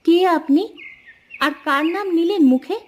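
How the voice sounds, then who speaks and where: A woman plays a village housewife and mother in a cartoon. Her voice is high and direct, guarded but hospitable. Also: a little slow. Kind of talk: Bengali moral-story cartoon dubbing.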